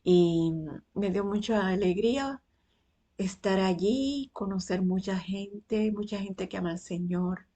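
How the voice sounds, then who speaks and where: A Cuban woman in her sixties giving a personal faith testimony, unhurried and pausing often between phrases. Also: medium pitch, dark tone. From Cuba. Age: 60s.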